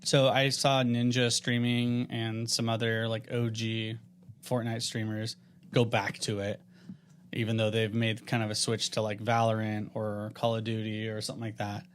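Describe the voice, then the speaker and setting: A man's voice: on the low side, monotone, moderate pace, a little hiss or hum. Casual, slangy guy podcasting. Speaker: podcast co-host. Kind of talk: casual chat.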